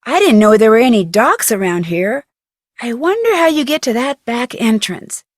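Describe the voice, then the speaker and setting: A woman's voice: on the high side, very animated, normal speed, no room sound, clean studio sound. A woman voicing a curious, plucky young female detective in a video game, musing aloud expressively.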